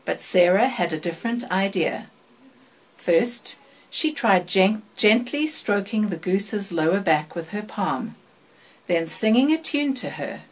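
An older woman from South Africa with a South African accent tinged by British and American sounds, reading a passage and then recalling her life in a measured, even way with thoughtful pauses. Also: medium pitch, rough recording. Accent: South African, mixed with British and American. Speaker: accent archive speaker.

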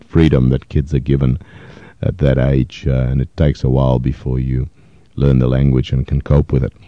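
A man in his fifties, originally from Poland and a native Yiddish speaker, recalls his past in a thoughtful, hesitant way with many pauses. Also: very deep voice, flat delivery, dry recording, lo-fi sound. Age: 50s. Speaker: interviewee. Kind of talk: reflective oral history interview.

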